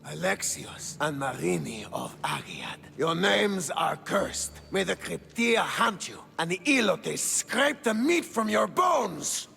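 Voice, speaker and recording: An imperious Spartan king from a game cutscene, proud and quick to wrath. His high, forceful voice swings widely in pitch. It rings through a long-echoing hall over noisy ambience. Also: wildly swooping pitch, gravelly, exaggerated voice. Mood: scornful, wrathful. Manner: declamatory, imperious. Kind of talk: dramatic video game acting.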